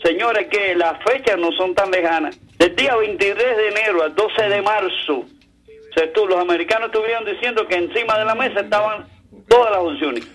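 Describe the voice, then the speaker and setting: High-pitched sardonic Cuban man on talk radio. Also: fairly quick, a bit bright, rough recording. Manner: colloquial, sardonic, full of idioms. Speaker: caller to a radio talk show. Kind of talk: opinionated political commentary.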